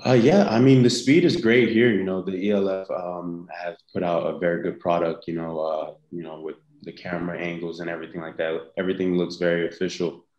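Young man, very deep voice, monotone, easygoing, hesitant, roomy echo. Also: dull audio. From United States. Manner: easygoing, halting, with many pauses. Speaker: guest, football player. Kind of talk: casual interview answers.

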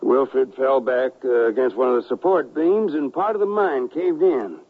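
An actor playing a gruff, stubborn western colonel in a radio drama, with husky, rough frontier speech that halts when he is troubled. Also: mid-range voice, moderate pace, close to an everyday voice. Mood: bitter. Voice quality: gravelly, husky. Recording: dull lo-fi radio recording.

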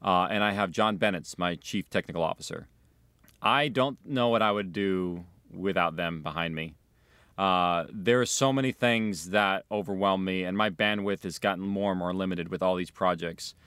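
A man's voice: deep voice, normal speed, a bit bright, clear, resonant tone, no room sound. A man speaking as an audio drama creator in a documentary interview. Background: music.

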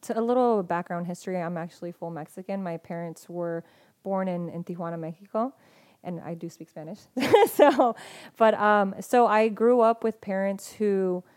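A woman's voice: medium pitch, normal speed, a little mellow. A woman talking as a podcast guest in casual conversation, hesitant and full of restarts and fillers.

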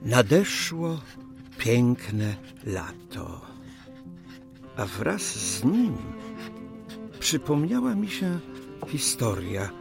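A formal, earnest official of the 1820s in a radio play. His mid-pitched voice moves through old-fashioned phrases with deliberate pauses, over prominent music. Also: very animated, creaky, heavily acted. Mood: nostalgic, reflective. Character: 19th-century Polish official reminiscing fondly, formal and earnest. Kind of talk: audio drama acting and diary narration.

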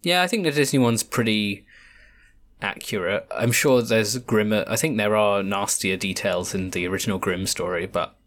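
A woman's voice: very deep voice, moderate pace. A woman co-hosting a podcast, chatting in a playful, informal way as if with a friend. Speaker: podcast co-host. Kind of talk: casual chat between friends.

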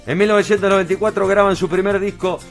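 High-pitched Argentine male show host. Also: fairly even intonation, fast talker, soft background music. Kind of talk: lively show presenting and interviewing.

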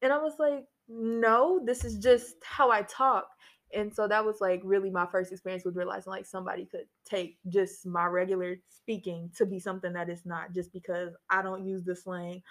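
A young woman speaks in a casual discussion at a middling pitch. She is conversational and emphatic, with an anecdotal way of explaining things. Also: flat delivery, normal speed. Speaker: panel participant.

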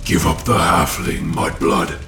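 Man voicing a raspy, low undead witch king villain. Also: completely flat delivery, a little slow, reverberant, over music, full character voice. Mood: menacing.

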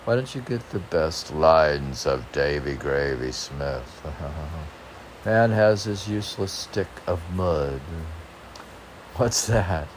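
A man's voice: a bass voice, expressive, slow. A man reading his own short poems aloud, rambling wryly and talking back to himself in casual commentary. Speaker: poet reading own work. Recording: noticeable background noise.

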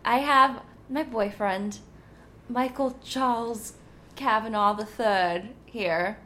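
A woman in her twenties hosting a casual podcast, loud and bubbly, laughing often. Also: fairly high pitch, slow pace, a little hiss or hum. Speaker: podcast host. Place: New York apartment room.

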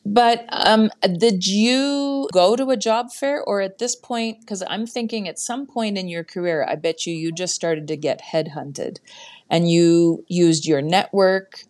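A woman's voice: mid-range voice, unhurried, darkish tone. A woman hosting a podcast interview, asking long, enthusiastic questions in a casual way. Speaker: podcast host.

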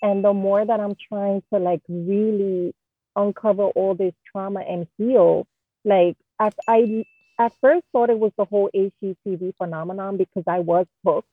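Queens woman 30s chatting candidly, husky-ish, tinny dry sound like a phone. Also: medium pitch, normal speed, no room sound. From Queens, New York. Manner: candid, animated storyteller with slang. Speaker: guest interior designer. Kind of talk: casual conversational interview.

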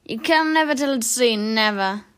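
A woman's voice: on the high side, normal speed, a bit bright, lightly acted. A woman voicing a bleary elderly seafaring man in an audiobook, plaintive and cranky, with solemn dignity.

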